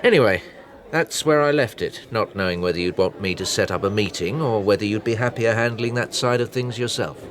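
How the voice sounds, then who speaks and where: An actor playing a chatty British private detective in a naturalistic radio drama, wry and anecdotal. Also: male voice, on the low side, a little fast, a crowd in the background, close to an everyday voice. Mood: matter-of-fact.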